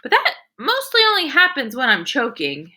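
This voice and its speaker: Woman doing an eager, high-pitched odd schoolboy. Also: huge pitch swings, normal speed, pushed and bright, full character voice. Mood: earnest. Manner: blurting, earnest.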